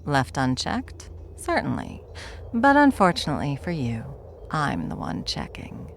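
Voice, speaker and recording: A woman playing a smug, world-weary veteran witch in a fantasy audio roleplay, dry, condescending and unhurried. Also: deep voice, huge pitch swings, gravelly, a little hiss or hum, heavily acted. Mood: wry, confident.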